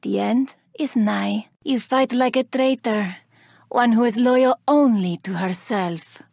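An actress playing a vengeful, haughty elven woman warrior in a video game, speaking with deliberate, theatrical menace. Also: on the high side, creaky, darkish tone, no room sound, studio quality, full character voice. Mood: vengeful, cold. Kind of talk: video game character voice lines.

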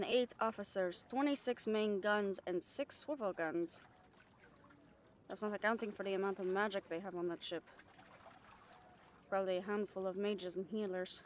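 A woman's voice: medium pitch, slight background noise, natural voice. A woman playing a brash, cheeky catgirl pirate in an audio roleplay, bantering with salty sarcasm. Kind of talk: roleplay audio drama acting.

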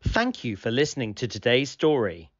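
A man narrating a children's storybook as host, with gentle, deliberate, expressive phrasing. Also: medium pitch, highs cut off.

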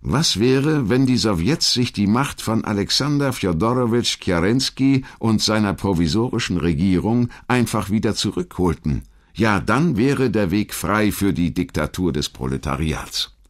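Male narrator for a history documentary: low register, measured and articulate scripted storytelling. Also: lively intonation.

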